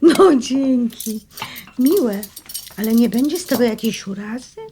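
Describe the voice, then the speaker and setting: A woman's voice: on the high side, expressive, measured pace, mellow. An actress playing a direct, questioning woman among friends in a naturalistic audio drama. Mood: conversational. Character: forthright woman friend who challenges men with pointed questions. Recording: faint noise with tableware clinks.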